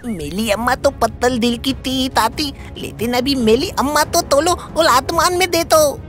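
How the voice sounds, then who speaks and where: Cartoon toddler: high, lisping, cheeky, music behind. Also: female voice, unhurried. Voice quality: baby-talk lisp. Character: tiny lisping village child, cheeky. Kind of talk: animated comic story acting.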